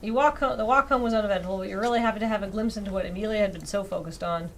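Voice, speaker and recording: A woman reads a visual novel aloud on a live game stream. Her delivery is expressive and casual, with wry little asides at her own expense. Her voice sits at an average female pitch, over some background noise. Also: very fast. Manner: expressive reading broken by wry, self-deprecating asides. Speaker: streamer reading out a dating sim visual novel. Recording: clearly audible background noise.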